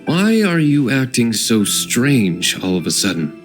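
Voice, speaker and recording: A man playing a cool, aloof gentleman hero in a fantasy game, formal, restrained and dry. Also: medium pitch, very animated, measured pace, loud music behind the voice. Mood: puzzled.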